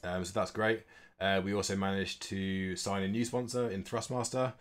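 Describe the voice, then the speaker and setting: A man's voice: sits low, fairly even intonation, moderate pace. A man giving a casual, unscripted business update as a host, speaking informally and a little hesitantly. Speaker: esports organisation co-owner hosting an update stream.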